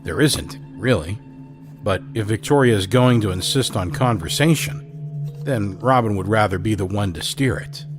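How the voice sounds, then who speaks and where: A man narrates a drama in a measured, literary voice with dry wit. His voice sits on the low side. A faint score plays softly beneath the narration. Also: over music.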